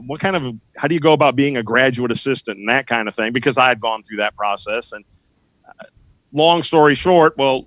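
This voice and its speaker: Bright-voiced middle-aged man reminiscing haltingly. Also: medium pitch, no echo, rough recording. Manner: halting, searching, anecdotal. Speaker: sports broadcaster being interviewed as a guest. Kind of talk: casual reminiscing podcast conversation.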